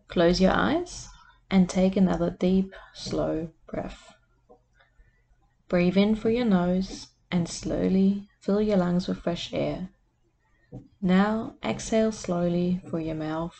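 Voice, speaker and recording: A woman who hosts a podcast as a life coach, leading a guided meditation in a soft, soothing voice. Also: mid-range voice, a little hiss or hum.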